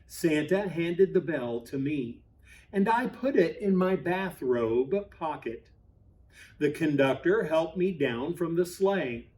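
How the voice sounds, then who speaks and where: A man, a pastor, reads a children's storybook aloud expressively, with dramatic pauses. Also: fairly high pitch, animated, darkish tone.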